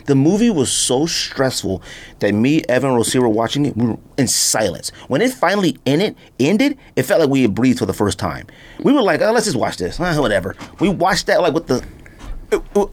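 An American man hosting a podcast, chatting casually through a countdown in an animated, exclamatory, slangy way. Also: mid-range voice. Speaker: podcast host.

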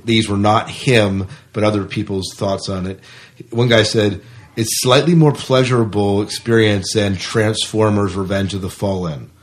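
A man's voice: lowish voice, fairly even intonation, normal speed. A man co-hosting a podcast, talking casually about films and reading out reviews. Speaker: podcast co-host.

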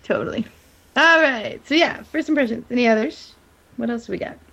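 A woman chatting casually as a podcast host in a giggly, animated way. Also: on the high side, fairly quick, creaky, lo-fi sound. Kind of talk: casual TV recap chat.

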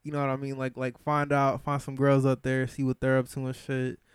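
A man's voice: medium pitch, completely flat delivery, fairly quick, clean tone, no room sound. A man from Michigan, a podcast guest, chatting casually and loosely in a studio, full of slang and repeated fillers. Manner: slangy and loose, peppering talk with "bro" and "like". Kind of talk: casual chat.

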